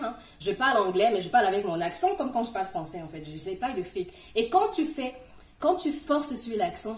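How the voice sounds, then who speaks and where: A middle-aged woman speaking straight to her viewers, giving encouraging advice with emphatic swings between loud and soft. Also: medium pitch. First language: French. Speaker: YouTuber giving language-learning tips. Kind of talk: direct-to-camera advice video. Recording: roomy, distant from the mic.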